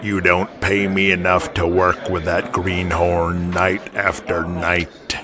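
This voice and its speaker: A man voicing a surly, proud veteran champion wrestler in an audio drama, blunt, deliberate and grumbling. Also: low-pitched, monotone, over music, pitched below the performer's own voice.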